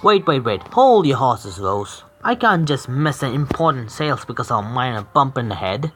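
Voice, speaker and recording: A man streaming a visual novel game, reading the narration aloud haltingly, stumbling over words and correcting himself. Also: mid-range voice, very animated, slight background noise.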